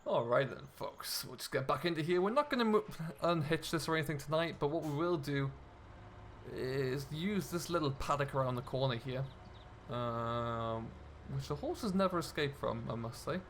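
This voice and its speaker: A man's voice: a little high, expressive, normal speed, a little hiss or hum. A man hosting a gaming video, giving casual role-play commentary as he plays a farming simulator. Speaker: host of a gaming video series.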